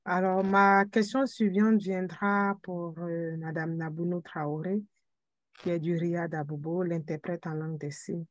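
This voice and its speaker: A woman's voice: lowish voice, dry recording. A woman moderating a webinar interview, thanking guests and asking questions in a polite, formal way.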